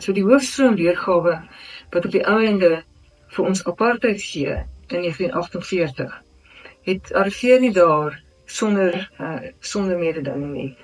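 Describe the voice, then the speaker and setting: This is an academic author giving a brisk, analytical interview answer that lists one category after another. The voice sounds set back from the microphone, so it comes across as somewhat distant. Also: medium pitch. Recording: voice from far off the mic.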